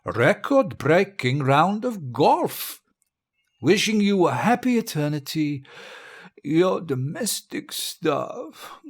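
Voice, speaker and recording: Audio drama lead: grandiose murderous dictator, breathy rasp, theatrical delivery with big swings in pitch, sinking into murmurs. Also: male voice, full character voice. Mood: pompous mockery. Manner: pompous, theatrical, savouring words, drifting into murmurs. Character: deposed-feeling murderous dictator facing death, grandiose and bitter.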